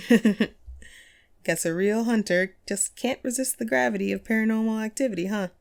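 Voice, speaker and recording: A woman playing a friendly, wistful ghost who hosted a paranormal radio show, chatty and playful in an intimate audio roleplay. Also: on the high side. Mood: teasing, warm. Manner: playful, conversational, self-deprecating, breaks into chuckles. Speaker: radio host.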